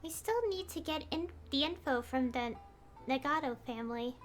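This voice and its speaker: A woman's voice: sits high, moderate pace, soft background music. A woman giving casual commentary as a game streamer.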